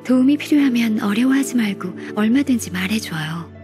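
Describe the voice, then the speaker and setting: A woman playing a gentle, dependable senior secretary in a video game, soft-spoken, polite and measured like a kindly mentor. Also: fairly high pitch, animated, over music, lightly acted.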